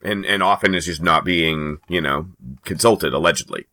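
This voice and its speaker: A man's voice: low-pitched, moderate pace, sharp tone. A man co-hosting a podcast with casual banter and commentary. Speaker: podcast co-host.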